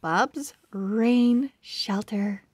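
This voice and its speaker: A woman narrating a children's storybook aloud, expressive and carefully articulated. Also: medium pitch, extremely slow, no echo, studio quality. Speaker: children's book read-aloud host.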